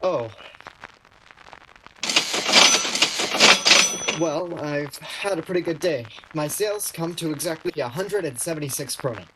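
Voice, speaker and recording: A man playing an earnest young shop clerk in a radio play, speaking in a hesitant, halting way. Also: fairly high pitch, lightly acted, low-quality recording. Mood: polite, deflated. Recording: clear background noise, clicks.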